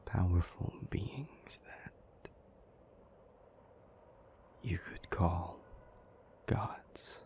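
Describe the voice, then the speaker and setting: Man playing a very deep whispering demon. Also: heavily acted. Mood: solemn storytelling. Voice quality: breathy, whispery. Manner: hushed, halting, deliberate delivery with long pauses. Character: ancient male demon, somber, thoughtful, recounting old myths. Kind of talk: intimate audio roleplay, slow storytelling.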